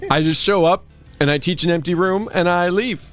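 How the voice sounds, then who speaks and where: A man's voice: high voice, fairly quick. A man co-hosting a livestream, reading a story aloud for comedy and breaking off into joking banter. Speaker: livestream co-host reading fan fiction aloud. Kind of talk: comic dramatic reading mixed with casual banter. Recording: music behind the voice.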